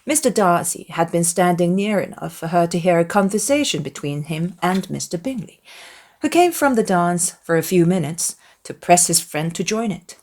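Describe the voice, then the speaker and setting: A woman's voice: fairly low pitch, lively intonation, normal speed, darkish tone. A woman reading as an audiobook narrator, in a dramatized literary storytelling style.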